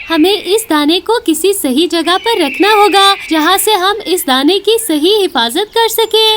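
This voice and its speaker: A woman's voice: very high-pitched, background noise, voice pushed up. A woman voicing an earnest, sensible little female bird in a cartoon story.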